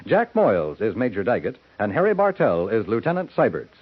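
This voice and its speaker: A man's voice: on the low side, huge pitch swings, husky, dry recording. Man reading 1950s radio credits. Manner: measured, formal announcer delivery. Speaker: announcer. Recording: dull 1950s radio broadcast recording with music.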